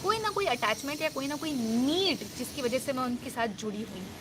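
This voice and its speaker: A woman's voice: high voice, expressive, a little fast, noisy background. A woman life coach giving an earnest, reassuring self-help talk, mixing English words into her Hindi.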